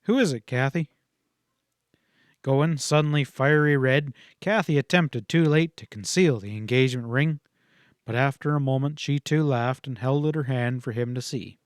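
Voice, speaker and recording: Guy reading a western audiobook, mid-pitched voice, scripted narration, dry w no room echo. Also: normal speed, clean tone.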